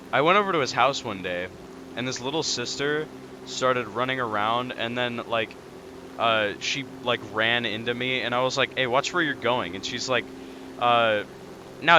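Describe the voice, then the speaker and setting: A young man telling stories in a casual, halting, informal chat. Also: medium pitch, bright, forceful tone, a little hiss or hum, low-quality recording. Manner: halting, filler-heavy, informal. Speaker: storyteller on a gaming channel.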